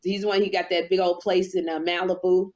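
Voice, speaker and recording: A woman chatting casually in a group, telling long drawn-out stories. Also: lowish voice, level tone, a little mellow. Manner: storytelling, drawn-out phrasing.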